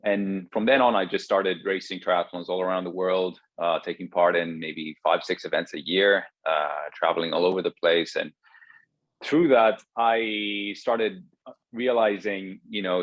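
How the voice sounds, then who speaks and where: A very low-voiced man in his thirties from Greece talks through a guest interview, stopping and restarting his sentences often. The video call audio sounds dull and dry, with no room around it. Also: no echo. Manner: hesitant, many restarts and fillers. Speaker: guest, startup co-founder. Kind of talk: remote interview conversation.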